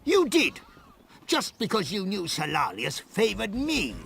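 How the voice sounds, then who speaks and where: A man's voice: high-pitched, wildly swooping pitch, unhurried, gravelly, a bit bright, a little hiss or hum, full character voice. An actor playing a fat, pampered and pompous male governor in a game cutscene, whiny in manner. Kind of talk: video game cutscene acting. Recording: game audio with music behind.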